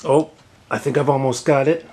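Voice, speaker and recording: A man's voice: medium pitch, steady tone, moderate pace, a little mellow, slight background noise. A middle-aged man giving casual hands-on tutorial narration, explaining plainly and repeating words for emphasis. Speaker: host of a how-to tech repair video.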